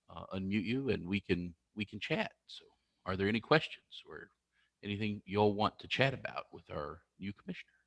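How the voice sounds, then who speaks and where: A man with a low but bright, forceful voice hosts an online meeting. He talks haltingly and restarts often, heard over a dry video call. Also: dry recording. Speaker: meeting host.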